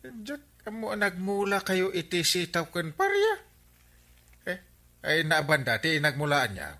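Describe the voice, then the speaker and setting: A man's voice: sits high, big pitch swings, a little slow, pushed and bright, full character voice. A man with an Ilocano Filipino accent playing a folksy, exasperated village captain in a radio comedy drama, with hesitant interjections. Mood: teasing, animated.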